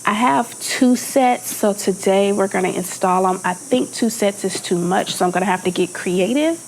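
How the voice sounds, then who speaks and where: Stuffy-nosed woman vlogging outside on her deck, upbeat and chatty, bit of vocal fry. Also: mid-range voice, a little mellow, a little hiss or hum, poor audio. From Texas. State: congested, talking through her nose. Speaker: home vlogger. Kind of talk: casual chat to camera. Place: outdoors on a home deck.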